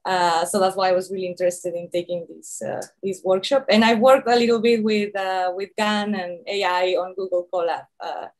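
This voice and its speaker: A woman's voice: mid-range voice, moderate pace, few pauses. Mexican woman introducing herself on a call. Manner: relaxed, many fillers. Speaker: workshop participant. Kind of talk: self-introduction. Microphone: video call.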